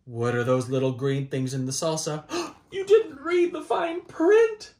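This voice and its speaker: A man's voice: a little high, expressive, measured pace, a little mellow. A man in his thirties reading a children's picture book aloud in a theatrical, playful, exaggerated manner. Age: 30s. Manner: theatrical, exaggerated, playful delivery with swoops and dramatic gasps. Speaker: storybook reader. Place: small child's room indoors.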